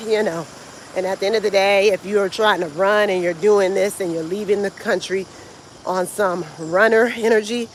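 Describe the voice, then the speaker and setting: A woman's voice: medium pitch, slight background noise. An American woman talking in a casual, opinionated and colloquial way, like a vlogger speaking to her followers. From the United States. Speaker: vlogger and travel coach. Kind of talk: casual vlog monologue to camera.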